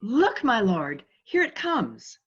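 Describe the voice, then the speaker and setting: A woman's voice: on the high side, very animated, slow, breathy edge, full character voice. A woman reading the part of a prince's loyal, watchful friend in a Shakespeare play over a video call. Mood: alarmed. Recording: slightly dull video-call audio.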